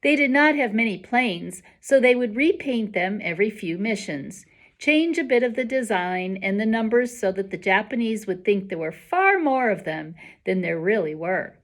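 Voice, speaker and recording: A woman voicing a quiet, observant, bookish boy with a measured, matter-of-fact manner in a storybook. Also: medium pitch, expressive, natural voice.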